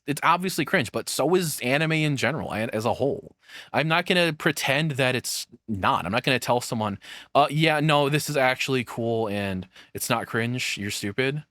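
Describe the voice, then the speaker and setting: A man's voice: medium pitch, bright, forceful tone, no echo. Rambling, sweary man streamer, dry sound. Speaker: reaction streamer. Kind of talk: casual off-the-cuff commentary.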